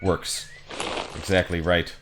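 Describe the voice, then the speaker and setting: A man chatting casually as a game streamer, informal and halting as he thinks aloud. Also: low voice, little pitch movement, heavy background noise. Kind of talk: casual livestream chat.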